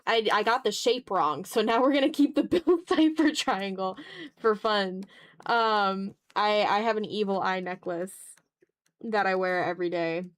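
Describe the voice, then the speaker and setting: A woman's voice: mid-range voice, a little slow, dry recording. A tired woman chatting casually on an art livestream while she draws, self-deprecating and often trailing off into muttering to herself.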